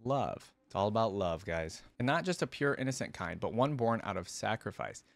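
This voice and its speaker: A man's voice: on the low side, very animated, moderate pace, gravelly, no room sound. A man hosting a reaction video, moving between informal, enthusiastic fan commentary and reading a story aloud.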